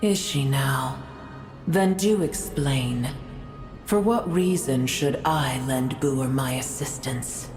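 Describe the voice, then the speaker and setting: A woman's voice: very deep voice, slow. A woman voicing an ancient, proud and bitter female dragon in a video game, speaking in a haughty, grandiose, archaic manner. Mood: haughty disdain. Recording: echoing, with game music behind.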